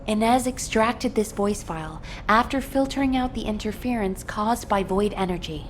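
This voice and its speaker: A woman's voice: mid-range voice, normal speed, a little hiss or hum, natural voice. Female game officer, played by an actress: mid-range voice, professional and official, debriefing over faint background ambience. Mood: businesslike, puzzled. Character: businesslike female sci-fi headquarters officer, reassuring but official. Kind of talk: scripted game dialogue, mission debrief.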